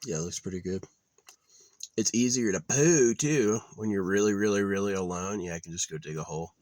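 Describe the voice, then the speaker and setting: A young man talking in a rambling, offhand way at a quiet outdoor campsite, often trailing off with filler. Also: deep voice, brisk, dry recording. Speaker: vlogger. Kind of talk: rambling casual monologue.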